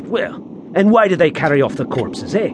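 An actor playing a wry, superstitious gravedigger's sidekick with an in an audio horror drama. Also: male voice, a little high, big pitch swings, normal speed. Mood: uneasy, spooky. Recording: clear background ambience noise.

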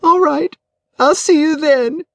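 A woman's voice: high-pitched, takes it slowly, a bit bright, pitched above the performer's own voice, exaggerated voice. A woman voicing a distraught, bitter middle-aged wife in an audiobook, with a tearful, indignant manner. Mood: subdued.

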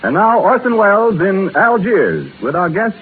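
A male radio announcer reading a sponsor's advertisement and introduction, smooth and persuasive. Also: high-pitched, big pitch swings, moderate pace, phone-quality sound. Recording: scratchy 1930s radio broadcast.

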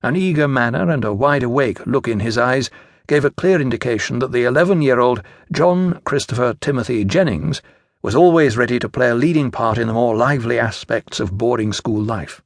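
A man's voice: medium pitch, fairly quick, dry recording, clean studio sound. A man with a British accent reading scripted narration from a children's story. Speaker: audiobook narrator.

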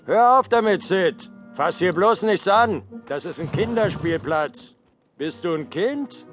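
A man's voice: high voice, expressive, a little slow, fairly bright tone, soft background music. A man playing a big, overprotective, fretful mammoth and expectant father in a children's audio play. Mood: stubborn, bossy. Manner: fretful, blustering.

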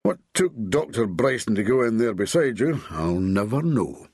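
A man's voice: mid-range voice, very animated, normal speed, a little mellow, husky, no room sound, studio quality. A man voicing a grumpy, sarcastic police boss who snarls and scolds in exasperation. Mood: grumbling.

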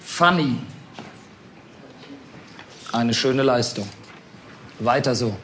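An actor playing a stern, theatrical, mocking schoolteacher in an audio drama. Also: male voice, mid-range voice, very slow, a bit bright, a little hiss or hum.

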